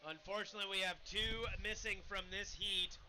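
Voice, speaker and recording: Loud, bright-voiced man commentating surf. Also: moderate pace, slight background noise, poor audio. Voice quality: loud, booming voice. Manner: playful, joking, hypes big waves. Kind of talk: live sports commentary. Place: outdoor beach contest booth.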